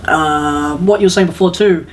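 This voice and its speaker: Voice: medium pitch, normal speed, slight background noise. A podcast host chatting casually and opinionatedly about films, rambling along and acting out imagined lines. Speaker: podcast host reviewing video game films. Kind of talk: casual opinionated panel chat.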